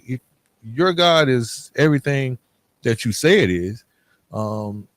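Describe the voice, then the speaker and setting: A middle-aged man with a mid-pitched voice tells a story in a very dry, close recording. His talk is casual and conversational, and he re-enacts the back-and-forth of remembered dialogue. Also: no room sound. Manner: informal, conversational, acts out both sides of exchanges. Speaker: podcast guest telling his own story.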